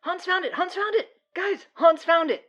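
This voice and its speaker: A woman playing an excitable, dim-witted teenage boy at school, eager and prone to stammering. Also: very high voice, moderate pace, faint music behind. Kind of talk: comedic audio drama acting.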